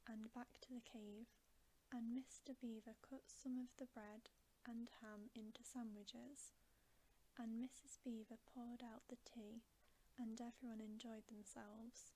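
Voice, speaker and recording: Woman, ASMR story reader, breathy whisper, slow pace, even intonation, gentle and hushed. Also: fairly high pitch, rough recording.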